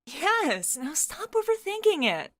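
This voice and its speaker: A woman voicing a bubbly, teasing young woman, a loyal best friend, playful and excitable in an audio story. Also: very high voice, measured pace, no room sound, voice pushed up, heavily acted. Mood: insistent, amused.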